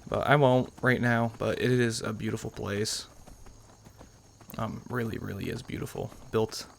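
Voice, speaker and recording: Young adult American male gaming host, creaky mid-range voice, loose conversational rambling, slight background noise. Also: on the low side, fairly even intonation, unhurried. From New York, United States. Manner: rambling, self-qualifying. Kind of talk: casual let's-play commentary.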